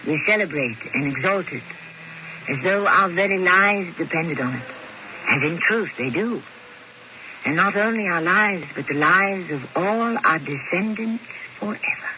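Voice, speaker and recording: A woman hosting a radio mystery drama with a dramatic, lilting scripted introduction. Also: lowish voice. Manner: dramatic, lilting, hushed delivery. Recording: muffled old radio recording with hiss.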